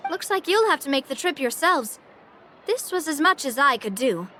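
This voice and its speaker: Bright, confident young group leader. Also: female voice, high-pitched, sing-song, slight background noise, heavily acted. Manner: brisk, assured, friendly. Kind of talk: video game voice acting.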